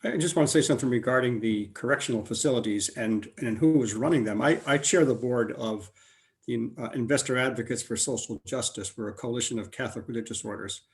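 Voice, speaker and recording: Measured man commenting from the audience. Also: mid-range voice. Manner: measured, with halting restarts. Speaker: audience member, chairs an investor coalition.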